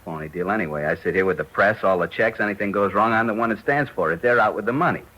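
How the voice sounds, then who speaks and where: An actor playing a surly, defensive small-time forger, rough and slangy, with an American accent, in a 1950s radio crime drama. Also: male voice, lowish voice, quick pace, sharp tone, highs cut off. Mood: bitter, resentful. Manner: tough, slangy, combative.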